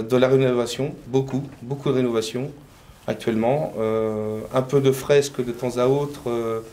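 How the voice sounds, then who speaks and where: Hesitant French painter-decorator, faint hiss. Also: male voice, medium pitch, warm tone. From France. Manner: hesitant, many fillers. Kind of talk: interview.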